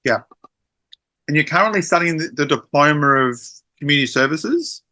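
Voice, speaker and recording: Man, podcast host, bright voice, upbeat, quick friendly questions. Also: fairly high pitch, animated. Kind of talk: casual podcast interview.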